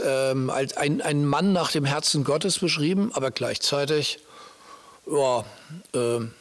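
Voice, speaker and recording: Guy chatting about bible stuff, slightly high voice, informal, stops and starts hunting for words. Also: a bit bright. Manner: halting, searching for words, informal. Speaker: speaker explaining Bible stories. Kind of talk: casual conversational Bible discussion.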